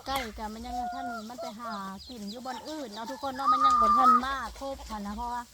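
A woman's voice: high-pitched, huge pitch swings, fast talker. A woman with a northeastern Thai accent chats casually to her viewers as a vlog host, addressing them often, recorded outdoors in the countryside. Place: outdoors at a rural home and garden. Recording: noisy outdoor recording with clucking chickens.

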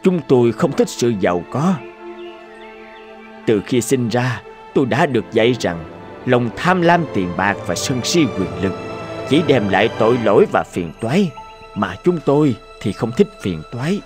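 An actor playing a humble, wise old townsman in a cartoon, speaking in a calm, respectful, measured way. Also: mid-range voice, music in the background, natural voice. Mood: reflective. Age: older.

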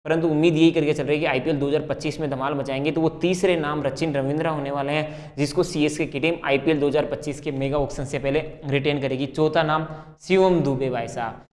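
A young man talks through cricket analysis in a conversational, emphatic way. His voice sits a little high for a man. It carries a long, hall-like reverb. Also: fairly even intonation, fast talker, a little mellow. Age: young adult. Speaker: cricket video presenter. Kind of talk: conversational sports analysis to camera.

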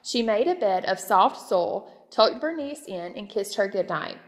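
A woman narrating a children's storybook aloud, expressive and clearly phrased. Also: mid-range voice, measured pace, in a large hall. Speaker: storybook reader.

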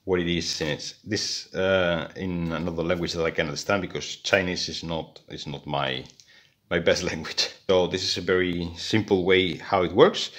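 Very deep-voiced man explaining a repair. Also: steady tone, moderate pace, fairly bright tone. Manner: hesitant, many fillers and restarts. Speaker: electronics hobbyist. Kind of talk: hands-on repair tutorial. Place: indoors at a workbench.

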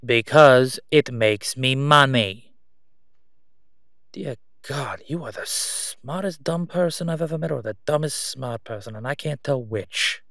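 A man playing a slick middle-aged crime boss in a roleplay audio drama, with a sarcastic, condescending, smooth-talking delivery. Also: medium pitch, a little slow, fairly bright tone, no room sound, studio quality. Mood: exasperated, forceful, then condescending, sly. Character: slick middle-aged male crime boss, mocking, sly, self-assured.

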